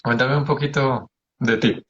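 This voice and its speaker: A man's voice: mid-range voice, steady tone, unhurried, highs cut off. A man hosting a conversational interview, engaged with his guest and putting questions to them. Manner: engaged, often affirming the guest. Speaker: interview host.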